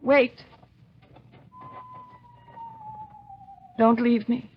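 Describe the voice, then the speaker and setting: An actress playing a cold, scheming and commanding wife in a 1950s radio drama. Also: female voice, fairly high pitch, level tone, slow pace, a little hiss or hum. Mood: urgent. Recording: dull lo-fi 1950s radio recording.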